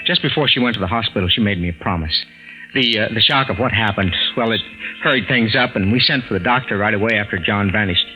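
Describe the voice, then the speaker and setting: An actor playing a stunned, excitable everyman husband in a 1940s radio drama, stammering with bewilderment. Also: fairly low pitch, fast talker, soft background music. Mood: confiding. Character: excitable, stunned everyman husband telling his incredible story. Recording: noisy vintage radio recording.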